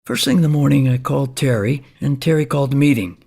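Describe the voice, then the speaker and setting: An actor playing a rambling, folksy older man who uses drugs, telling his comic story in a hesitant, husky, gravelly voice. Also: mid-range voice, quick pace, a little mellow. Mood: wry, earnest. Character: rambling, shabby older neighbour man, a folksy drug user. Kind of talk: comic stage monologue.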